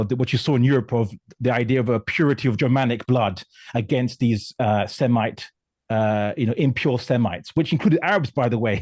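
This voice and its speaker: Male discussion guest from Portugal; emphatic, halting explainer, mid-pitched, close dry sound. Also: a little mellow, no echo. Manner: emphatic, halting, often repeating words. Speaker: guest commentator on religion and politics.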